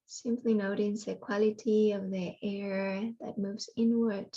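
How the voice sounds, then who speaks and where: A woman guiding a yoga nidra meditation in a soothing way, leaving long pauses between phrases. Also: mid-range voice, little pitch movement, dark tone, highs cut off. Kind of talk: guided meditation.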